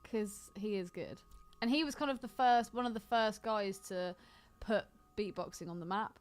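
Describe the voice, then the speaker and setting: A woman's voice: mid-range voice, normal speed, smooth. A woman co-hosting a podcast, bantering casually about films in a blunt, teasing, sweary manner. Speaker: podcast co-host. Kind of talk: casual film chat banter.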